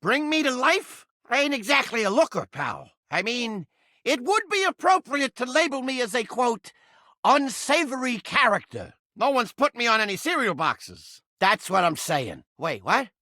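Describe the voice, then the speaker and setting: A touchy little mouse trap brought to life, with a piercing, bright voice recorded very dry. He is a brash, streetwise video game cartoon character who gripes in crude slang and flips between insult and bashfulness. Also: very high voice, big pitch swings, moderate pace, no room sound, full character voice. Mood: flustered, doubtful. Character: foul-mouthed, touchy, lovelorn male mouse trap come to life.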